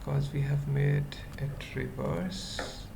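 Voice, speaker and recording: A tutorial presenter thinks aloud at a very slow pace, in short murmured pieces broken by long pauses. The voice is of middle pitch and full of vocal fry. The recording is clearly noisy, with keyboard typing behind it. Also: steady tone. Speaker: web developer giving a tutorial. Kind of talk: unscripted coding screencast, thinking aloud. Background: computer keyboard typing.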